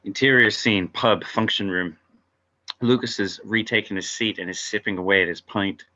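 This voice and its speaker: A man's voice: lowish voice, fairly even intonation, normal speed, pushed and bright. A man narrating scene headings and stage directions in a scripted table read. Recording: dull lo-fi audio.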